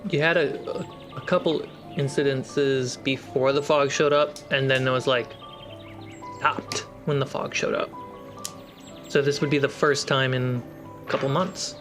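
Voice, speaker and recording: A man running a tabletop game as game master, answering the players' questions in a relaxed, matter-of-fact way. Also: mid-range voice, measured pace. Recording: music underneath.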